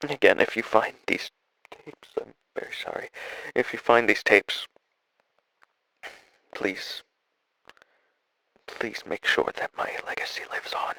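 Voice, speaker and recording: Audio drama: male actor as weary, desperate dying scientist, lowish gravelly voice, solemn halting log entries. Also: sharp tone, heavily acted, rough recording. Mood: resigned, apologetic. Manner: halting, solemn, weary delivery with trailing phrases.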